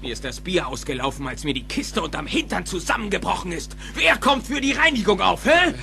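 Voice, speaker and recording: Actor as a sneering, gravelly bully boss. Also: male voice, high-pitched, sing-song, sharp tone, faint music behind, heavily acted. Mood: aggressive, mocking. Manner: taunting, drawn-out, bullying. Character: loud sneering bully supervisor, adult man, domineering and mocking. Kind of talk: German audio drama film dub acting.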